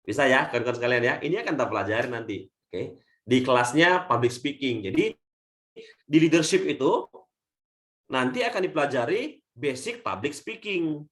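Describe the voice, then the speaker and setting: Indonesian guy coaching on a video call, thin shrill voice, jumps loud then soft, keeps saying friends. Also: moderate pace. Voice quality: says his natural voice is thin and shrill. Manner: animated, swings loud and soft; addresses audience often as friends. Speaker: leadership coach. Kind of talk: webinar seminar talk.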